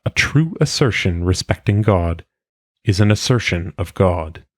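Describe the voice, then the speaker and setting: A man reading philosophical maxims aloud in a solemn audiobook style, with measured, deliberate phrasing. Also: sits low, expressive, dry recording, studio quality. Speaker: reader.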